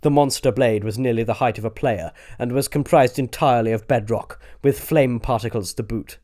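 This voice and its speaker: A man's voice: medium pitch, moderate pace, darkish tone. A man narrating a scripted adventure story in a dramatic audiobook style.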